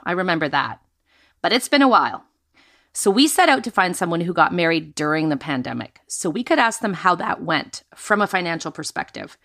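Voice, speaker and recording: A woman's voice: lowish voice, a bit bright. A woman co-hosting a personal finance show, talking in an upbeat, conversational way. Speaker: podcast co-host.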